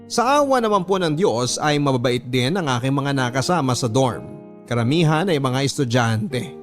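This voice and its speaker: A man with a Filipino accent reading a letter as a radio drama narrator, in an earnest, measured storytelling manner. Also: medium pitch, expressive, faint music behind.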